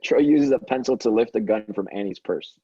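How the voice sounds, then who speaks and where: A man narrating stage directions at a table read, in dull low-fidelity sound. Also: a little fast, dark tone, slightly rough, dry recording. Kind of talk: reading screenplay directions aloud.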